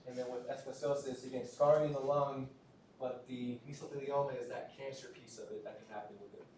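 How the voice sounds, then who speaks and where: A man's voice: medium pitch, fairly even intonation, a little fast. Man lecturing from a distance in an echoey room. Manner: conversational, repeats words, frequent "right?" checks. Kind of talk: recorded classroom lecture. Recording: roomy, distant lecture-hall recording with faint noise.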